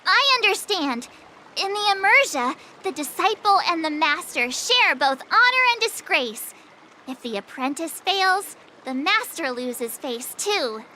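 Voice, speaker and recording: An actress playing an eager, naive, excitable teenage apprentice swordfighter in an anime-style video game, with an earnest, plucky delivery. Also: female voice, very high-pitched, measured pace, slight background noise, exaggerated voice, low-quality recording.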